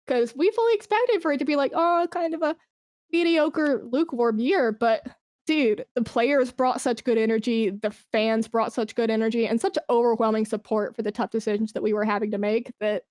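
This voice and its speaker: A woman's voice: on the high side, normal speed, a little mellow, no room sound, studio quality. A woman speaking as a podcast guest in a casual, informal interview, effusive and emphatic about their work as an esports brand manager.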